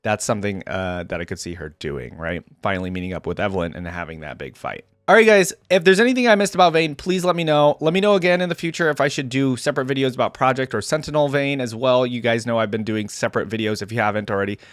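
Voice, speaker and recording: A man's voice: medium pitch, animated, fast, few pauses, no room sound, clean studio sound. A man giving casual game reaction commentary in an informal, chatty way, thinking aloud as he goes. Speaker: reaction video creator.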